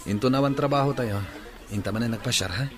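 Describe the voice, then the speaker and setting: A man's voice: medium pitch, fast, fairly bright tone, close to an everyday voice. A man acting a young, easygoing suitor in a radio drama, with an Ilocano accent. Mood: obliging. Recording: music bed behind the voice.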